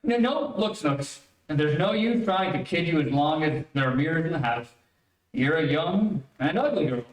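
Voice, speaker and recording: A man's voice: a little high, expressive, moderate pace, close to an everyday voice. Guy doing an exasperated old radio comedy dad, coaxing n flustered, roomy echo, a bit far from mic. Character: exasperated, coaxing father of a little girl. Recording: echoey, distant, faintly noisy stage stream.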